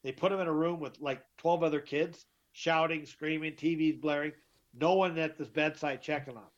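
A middle-aged man with a deeper voice, telling his story as an interview guest, emphatic and often repeating himself. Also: steady tone, moderate pace. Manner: emphatic, repeats and restarts words. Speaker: guest, author. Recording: dull lo-fi sound.

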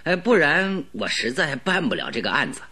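A man's voice: a little high, sing-song, moderate pace, pushed and bright, full character voice. A man playing a polite detective in a radio drama, courteous and feigning helplessness. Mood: mock-humble. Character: polite mock-humble male detective.

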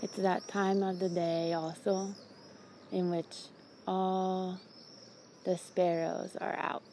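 A woman calmly describing her surroundings outdoors on a summer evening, in an unhurried, observant way. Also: fairly low pitch, a little hiss or hum. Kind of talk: calm descriptive monologue.

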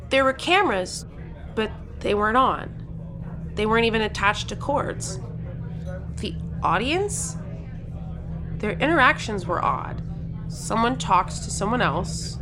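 A woman playing an excitable, cocky thirteen-year-old contestant telling their story in a scripted audio drama. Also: a little high, slight background noise. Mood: uneasy narrating. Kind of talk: scripted audio drama, first-person narration with acted lines.

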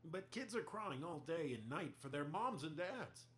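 A man's voice: on the high side, very animated, measured pace, fairly bright tone. An actor playing an earnest, challenging news reporter in an audio play, pressing his questions.

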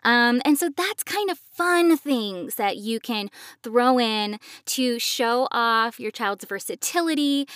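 A woman with a somewhat high-pitched voice talks as a podcast co-host. She explains things in a conversational way, like a working voice actor. The sound is very dry, with no room echo.

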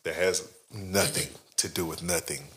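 A man co-hosting a podcast, telling stories in animated, slang-heavy casual banter. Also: low-pitched, normal speed. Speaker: podcast co-host.

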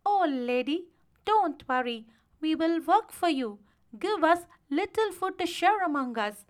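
A polite forest dwarf from a storybook, as a woman plays him, pleads formally in a high, swooping voice. He is plaintive and earnest, eager to serve. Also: animated, slow pace, fairly bright tone, heavily acted.

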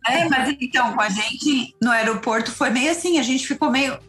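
A woman's voice: sits high, moderate pace, fairly bright tone, soft background music. A woman, a guest in a casual interview, talking earnestly and enthusiastically and often ending with "sabe?".

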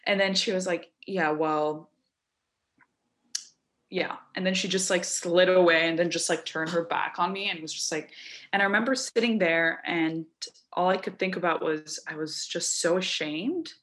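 A woman's voice: lowish voice, normal speed. A young woman from Lebanon telling a personal story in casual conversation, acting out what people said. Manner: animated, quoting people in voices.

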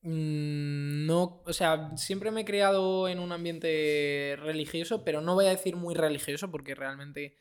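A man's voice: on the high side, unhurried, few pauses, clear, resonant tone. A man in his twenties with a southern Madrid Spanish accent, chatting easygoingly as a podcast guest. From born in Colombia, raised in Madrid. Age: 20s. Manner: easygoing, rambling, many fillers. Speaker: guest singer-songwriter. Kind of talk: casual podcast chat.

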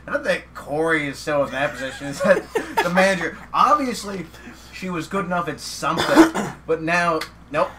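A man chatting casually, teasing his friend with quick jokes. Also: fairly high pitch, animated, background noise. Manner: teasing, joking interjections. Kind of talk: casual podcast chat.

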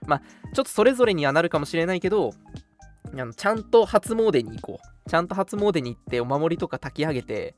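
Animated young man chatting casually over music. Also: fairly high pitch, fast talker. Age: 20s. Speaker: radio show co-host. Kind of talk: casual banter between friends.

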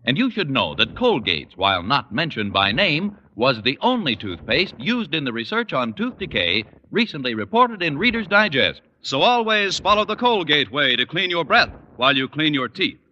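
A man's voice: fairly high pitch, big pitch swings, normal speed, few pauses, sharp tone. A man with an American accent reading a 1950s radio advertisement with emphatic, punchy salesmanship. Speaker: commercial announcer. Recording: dull, noisy old radio recording.

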